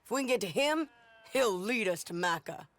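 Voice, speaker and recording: A woman's voice: medium pitch, lively intonation, moderate pace, a bit bright, lightly acted. A woman playing a tough, driven frontier bounty hunter in a video game, terse and urgent, with an American accent. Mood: urgent, determined. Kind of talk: dramatic video game acting.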